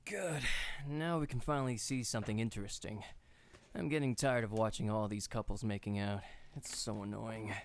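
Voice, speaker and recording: A man's voice: fairly low pitch, normal speed, natural voice. A man voicing a grumpy young male student in a visual novel, muttering with annoyance. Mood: grumpy, bored. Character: grumpy, shy young male student, irritated twin brother.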